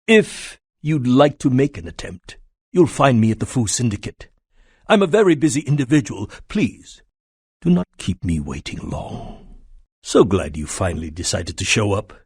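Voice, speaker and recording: A man's voice: medium pitch, breathy edge, no room sound, clean studio sound, exaggerated voice. A man playing a cold, polite, menacing syndicate scientist in a video game, speaking in a formal, clinical, condescending way. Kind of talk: video game villain voice acting.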